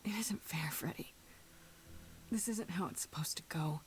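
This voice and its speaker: Actress as an earnest, wounded young muse. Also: female voice, sits low, moderate pace, in a whisper, natural voice. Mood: defiant, grieving. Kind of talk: dramatic video game voice acting. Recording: game audio with faint background noise.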